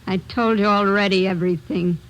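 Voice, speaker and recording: A woman's voice: medium pitch, steady tone, a little fast, natural voice, rough recording. Actress as a snappish, defiant woman murder suspect. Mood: sullen. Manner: snappish, defensive, slangy tough talk. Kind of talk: old-time radio crime drama acting. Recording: old radio recording.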